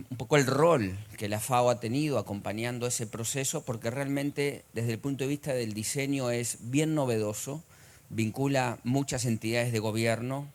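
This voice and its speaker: A middle-aged man with an Argentine accent, giving a formal conference talk, measured and filled with pauses and hesitations. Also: mid-range voice. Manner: measured, with frequent "eh" and "digamos" fillers. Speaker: conference speaker.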